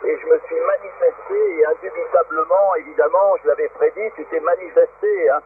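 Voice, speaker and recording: A man's voice: on the high side, expressive, fairly quick. A man talking informally as an amateur radio operator over a shortwave radio link. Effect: shortwave radio transmission. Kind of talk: informal argumentative radio exchange. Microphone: radio transceiver microphone. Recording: narrow, tinny, noisy shortwave radio link.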